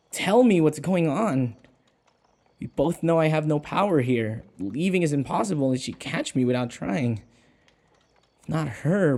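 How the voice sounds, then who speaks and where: A man's voice: on the high side, darkish tone. A man playing an anxious human servant held captive by a vampire mistress in an audio roleplay, hesitant and stammering. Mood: frustrated, then brooding, resigned. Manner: hesitant, stammering, anxious, with sighs and muttered asides. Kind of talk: audio roleplay acting, spoken to the listener.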